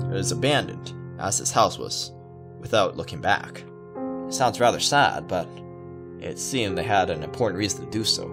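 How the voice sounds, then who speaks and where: Male let's-player, low voice, casual halting read of visual novel text, guitar music behind. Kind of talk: casual read-aloud narration with asides.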